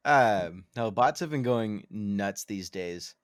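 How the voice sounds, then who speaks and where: A man's voice: on the low side, animated, slow, no room sound, studio quality. A man co-hosting a podcast in casual chat, rambling and self-deprecating, with many ums and restarts. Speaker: podcast co-host.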